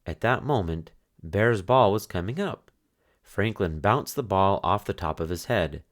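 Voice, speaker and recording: A man reading a children's picture book aloud as narrator, in expressive storytelling style. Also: low voice, a little slow.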